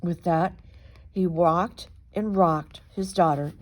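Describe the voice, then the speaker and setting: A woman reading a children's story aloud as an expressive narrator, with dramatic, deliberate emphasis. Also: on the low side. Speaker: storybook reader, reverend.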